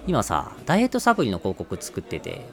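A man's voice: fairly low pitch, huge pitch swings, fast talker, fairly bright tone, exaggerated voice. A man playing a friendly, pushy male marketer in an amateur radio drama, asking questions like a salesman. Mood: friendly, persuasive. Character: Mark, cheerful pushy male marketer chatting up a colleague.

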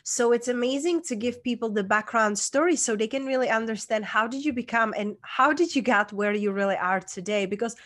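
A woman's voice: fairly high pitch, fairly quick, few pauses, fairly bright tone. Earnest woman with a Czech accent. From Czech Republic. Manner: earnest, emphatic, reflective. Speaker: guest, women's coach. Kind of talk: personal story in an interview.

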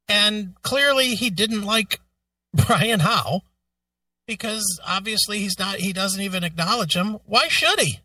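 Blunt, bright-voiced man, pitched high. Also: no room sound, recorded from a distance. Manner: blunt, sarcastic, profane. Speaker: show co-host. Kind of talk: casual opinionated banter.

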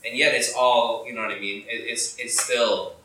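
A man from Saudi Arabia hosting a podcast, telling his own story candidly and in a lively, casual way. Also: medium pitch, moderate pace, heavy reverb, recorded from a distance, low-quality recording. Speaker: podcast host. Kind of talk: casual conversation.

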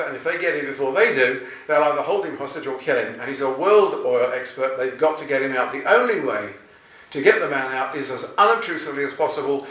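A man's voice: on the high side, sharp tone. Older guy chatting about his novel, bit far from the mic, roomy echo. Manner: chatty, anecdotal, self-deprecating. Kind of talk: interview answers.

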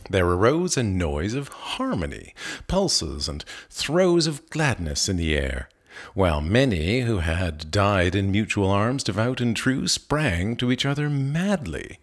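A man giving a measured, expressive, dramatic reading of old narrative verse. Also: lowish voice. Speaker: poetry reader.

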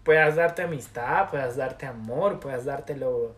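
A man's voice: mid-range voice, measured pace. Candid, playful young Colombian man. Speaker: podcast co-host. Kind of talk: casual banter about zodiac signs and relationships.

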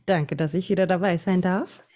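A woman in her late twenties with a Franconian German accent, a podcast guest explaining things at length in a relaxed way. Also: fairly low pitch, moderate pace. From Franconia, Bavaria. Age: 20s. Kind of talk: casual podcast chat.

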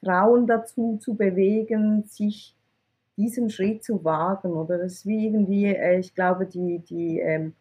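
A woman speaks in a mellow, somewhat husky voice. Her answers are candid and she restarts words as she searches for them. She tends to end her points with a questioning tag. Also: mid-range voice, steady tone, measured pace. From Iran. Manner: candid, repeats words when searching, ends points with "oder?". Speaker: IT recruitment consultant. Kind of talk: interview answers.

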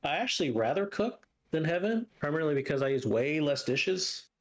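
A man's voice: on the high side, very animated, fairly quick. Man chatting casually as podcast co-host. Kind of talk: casual chat with live chat banter.

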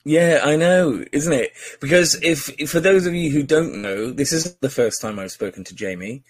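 A man's voice: a little high, normal speed, husky. A man hosting a casual podcast interview, chatty and teasing, with many fillers and restarts. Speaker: podcast host.

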